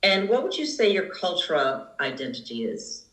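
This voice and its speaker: A man interviewing, asking procedural questions in a calm, brief manner. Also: high voice, moderate pace, reverberant, poor audio.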